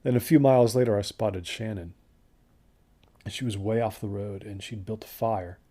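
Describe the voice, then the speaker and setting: Audio drama male actor: uneasy hiker looking back, conversational, lowish mellow voice. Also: normal speed, natural voice. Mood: uneasy recollection. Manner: conversational, reflective. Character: uneasy male desert hiker recounting a bad trip. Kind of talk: first-person audio drama monologue.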